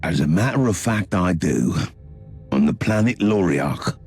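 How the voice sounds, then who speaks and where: A sly, urbane inquisitor from a dark video game, wry and scheming. His low voice swings theatrically up and down as he persuades, with music playing underneath. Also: animated, measured pace. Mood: wry, persuasive. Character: sly, smooth-tongued male inquisitor, amused and scheming. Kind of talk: dramatic video game cutscene acting. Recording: music behind the voice.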